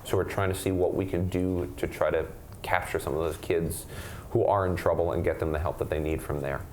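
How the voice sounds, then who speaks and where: A young man with a deep voice answers audience questions as a state legislator. He speaks earnestly and often restarts or repeats words mid-sentence. A faint hum sits behind him. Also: steady tone, brisk. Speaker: state legislator at advocacy forum. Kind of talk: panel Q&A answers.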